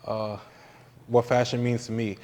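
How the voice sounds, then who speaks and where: A man's voice: medium pitch, a little hiss or hum. A man from New York, a guest entrepreneur, talking casually about fashion in an easygoing, slangy way. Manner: relaxed, drawn-out, easygoing slang. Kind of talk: casual interview chat. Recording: long reverb in the room.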